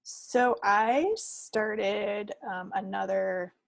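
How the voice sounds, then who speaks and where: A woman's voice: medium pitch, steady tone, drawn-out words, vocal fry, no room sound. A woman co-hosting a craft podcast, chatting casually in a rambling, playful way. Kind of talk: casual chat about projects. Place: recorded at own end of a remote video call.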